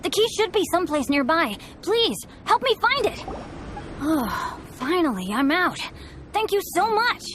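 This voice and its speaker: A woman's voice: very high-pitched, very animated, measured pace, fairly bright tone, slight background noise, full character voice. A woman voicing an eager, polite, hapless teenage boy adventurer in a video game, speaking earnestly. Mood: grateful, sheepish. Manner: earnest, apologetic. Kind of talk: video game character acting.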